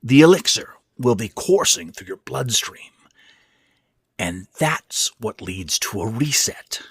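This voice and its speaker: A man acts out a sick, worn-out old tyrant from a horror story, husky and dry, swinging from hisses to growls. He is irritable and commanding, leaning hard on his words with wide, surging pitch. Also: very animated, takes it slowly, no room sound, full character voice. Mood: explaining, impatient. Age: older. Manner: hissing, growling, emphatic, commanding. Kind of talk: dramatic horror fiction reading.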